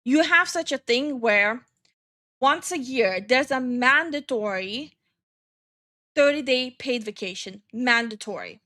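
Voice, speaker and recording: Woman from estonia streaming, slightly high voice, gets heated and swears a lot, ranting to chat. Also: animated, takes it slowly, pushed and bright. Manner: greets chat viewers by name. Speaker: streamer and channel host. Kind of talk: casual live-stream chat.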